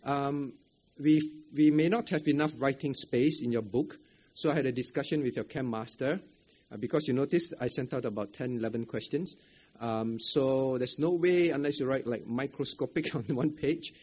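A man's voice: medium pitch, normal speed, darkish tone, slight background noise. A man preaching an interactive sermon at a church camp, asking the audience questions, repeating phrases and often adding "right?". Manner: chuckles often between points. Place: church camp meeting room.

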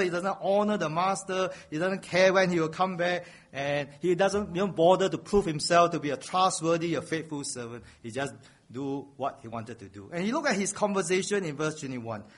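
A man delivers a sermon in a fairly high voice. He is earnest and keeps coming back to a refrain, often pushing his voice up for emphasis. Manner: earnest, repeats a refrain, builds to raised emphasis. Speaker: preacher.

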